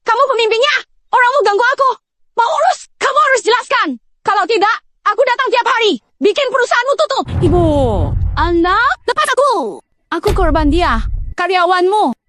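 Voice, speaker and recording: A woman's voice: lively intonation, brisk, pushed and bright, no echo, heavily acted. A woman playing a belligerent, scheming old neighbor who acts the aggrieved victim in a short drama. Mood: combative, aggrieved. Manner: shrill, aggrieved, accusatory.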